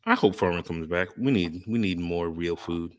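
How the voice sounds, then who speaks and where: Deep-voiced laid-back man chatting. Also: normal speed, no echo, clean studio sound. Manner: laid-back, profane banter. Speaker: podcast co-host. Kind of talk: casual chat.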